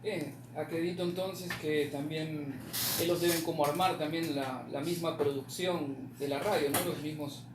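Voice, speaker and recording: A man's voice: a little high, steady tone. Distant, echoey male interviewer. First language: Spanish. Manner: halting, mixes Spanish with Portuguese. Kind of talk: casual interview questions. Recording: echoey, noisy room, distant from mic.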